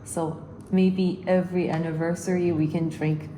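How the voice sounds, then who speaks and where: A young woman with an American accent chats easily at a wine tasting. Her voice sits a little low. A steady background hum runs under her words. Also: moderate pace, reverberant, poor audio. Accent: American English. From born in Korea, grew up in the United States. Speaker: vlogger on honeymoon, winery visitor. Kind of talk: casual chat at a wine tasting.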